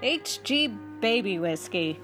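A woman's voice: mid-range voice, very animated, a bit bright. A woman playing a cheery, coaxing female announcer in a parody of a 1930s radio commercial, with music behind her. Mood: sweetly selling. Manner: coaxing, deliberate salesmanship. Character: sugary, cheery female radio advertisement pitchwoman.

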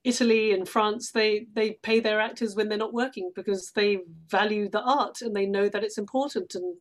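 A woman's voice: medium pitch, steady tone, moderate pace. A British woman talking reflectively in a conversational interview as a guest actress, with an easy laugh. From London, UK. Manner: laughs easily while talking.

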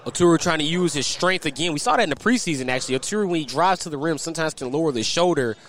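A man's voice: on the high side, lively intonation, racing. An American man working as a radio color commentator, explaining and recapping basketball plays in detail. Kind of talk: live basketball analysis. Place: live inside a sports arena.

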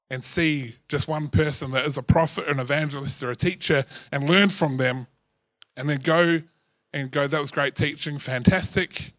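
A man, a pastor giving a casual, joking Sunday sermon in church, his voice a little husky. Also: fairly high pitch, fairly bright tone, no echo. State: slightly husky voice.

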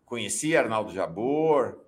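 A man's voice: fairly low pitch, expressive, measured pace. A middle-aged or older man, hosting and giving blunt, emphatic live political commentary. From São Paulo, Brazil. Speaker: news show host and commentator.

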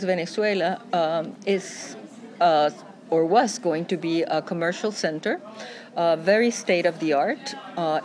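A woman interviewed as an expert, explaining off the cuff in a halting way with frequent ums and pauses. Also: fairly low pitch, darkish tone. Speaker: interviewed expert, cultural historian.

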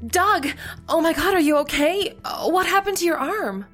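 A woman's voice: very high voice, expressive, normal speed, fairly bright tone, faint music behind. A woman playing an anxious, hesitant hotel front desk clerk in an audio drama. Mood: alarmed. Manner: hesitant, worried.

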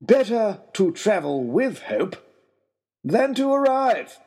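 Pompous, irritable lord leading an expedition, played by a male narrator, blustering and animated, for an audio adventure story. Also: high-pitched, slow, breathy edge, voice pushed up, exaggerated voice. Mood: jovial. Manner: pompous, bellowing.

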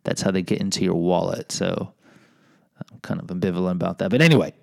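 Self-deprecating American man, gravelly and dry. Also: low voice, fairly even intonation, fast talker, no echo. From United States. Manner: self-deprecating, rambling digressions. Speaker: podcast host and teacher. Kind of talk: confessional memoir reading with chatty asides.

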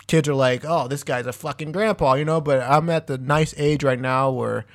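A man's voice: mid-range voice, steady tone, normal speed. A man in his thirties co-hosting a podcast, laid-back and profane, saying "dude" often. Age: 30s. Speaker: podcast co-host. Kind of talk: crude casual banter.

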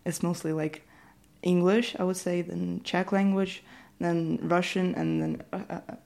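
Teenage Ukrainian woman, gravelly voice. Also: fairly low pitch, little pitch movement, a little slow, darkish tone. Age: teens. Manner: hesitant, many fillers and restarts. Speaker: student interviewee. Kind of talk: casual interview answers.

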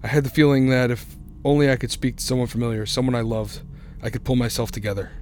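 A man's voice: mid-range voice, level tone, fast, natural voice. An actor playing a frightened, haunted man on a road trip who stammers anxiously as he tells his story in a radio drama. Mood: haunted, brooding. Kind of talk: radio drama first-person narration and acting. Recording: noisy radio drama recording, vehicle ambience.

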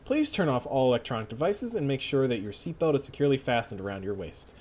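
A man's voice: mid-range voice, big pitch swings, fast, a little mellow. A man voicing a dead female flight attendant, eerily polite, with formal announcement delivery. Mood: polite, eerie.

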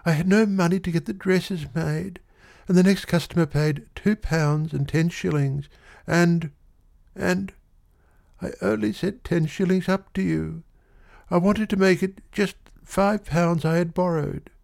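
A man's voice: sits high, fairly even intonation, a little slow, creaky, a little mellow, pitched above the performer's own voice. A man reading aloud, voicing a frightened, tearful young shop girl who pleads in a breathless rush. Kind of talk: audiobook reading.

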